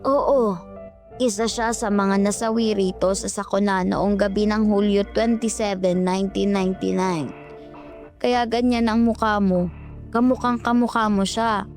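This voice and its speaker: Woman doing a grave old ghost lola, slow solemn horror story talk, faint music under. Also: mid-range voice, steady tone, a little mellow, lightly acted. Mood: solemn revelation. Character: grave old ghostly grandmother revealing dark truths.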